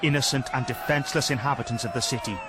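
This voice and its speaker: An actor playing a British rifle officer, a haunted battle survivor, giving sombre, emphatic testimony in a radio drama. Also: male voice, medium pitch, fairly even intonation, fast talker, crowd noise, close to an everyday voice. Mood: horrified. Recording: noisy radio drama recording.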